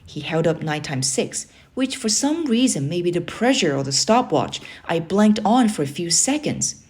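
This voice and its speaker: A woman narrating a children's book aloud in an expressive storybook style. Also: on the low side, normal speed.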